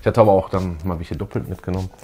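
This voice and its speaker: A man's voice: sits low, fairly even intonation, racing, mellow. A middle-aged man co-hosting a fan show, chatting and reading aloud in an informal way. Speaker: co-host of a retro fan show. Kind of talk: casual chat, some reading aloud. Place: small room full of posters and collectibles.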